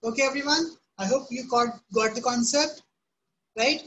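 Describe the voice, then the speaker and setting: A woman's voice: on the high side, normal speed, slight background noise, low-quality recording. A woman teaching a live online math class, often asking "right?" and "okay?" to check on students. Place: home room.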